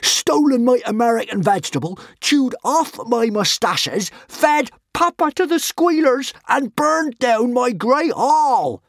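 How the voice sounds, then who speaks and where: A man's voice: lively intonation, slow, creaky, bright, forceful tone, slightly rough, no room sound, studio quality, exaggerated voice. A man doing an unhinged Viking chief. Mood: shrieking. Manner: lurches between shrieking and low menace. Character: unhinged adult male Viking chief, twitchy.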